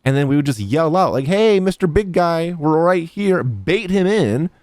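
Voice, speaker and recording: A man's voice: fairly high pitch, lively intonation, normal speed, darkish tone, no echo. A young man giving casual live commentary as he streams a game, speaking into a close desk microphone. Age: young adult. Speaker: game streamer reacting to a story quest. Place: indoor streaming room. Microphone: desk microphone close to mouth. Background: game music.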